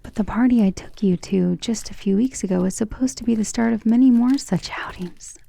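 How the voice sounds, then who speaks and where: A woman voicing an ancient, tender and protective vampire lover in an intimate, breathy romantic ASMR roleplay, with genteel wording and a vulnerable air. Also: a little high, brisk, warm tone. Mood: worried, regretful. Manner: intimate, breathy half-whispers with sighs.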